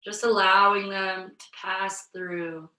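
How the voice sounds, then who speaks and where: Yoga teacher lady, bit scratchy from a cold, slow calm cues, bright voice, roomy sound a ways off the mic. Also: mid-range voice, far from the mic. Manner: slow, soothing, invitational. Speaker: yoga instructor. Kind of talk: guided yoga and breathing instruction. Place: home yoga space, virtual class.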